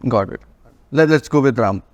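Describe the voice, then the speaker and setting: A man's voice: mid-range voice, lively intonation, normal speed, no room sound. A man with an Indian accent hosting a casual panel interview podcast. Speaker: podcast host.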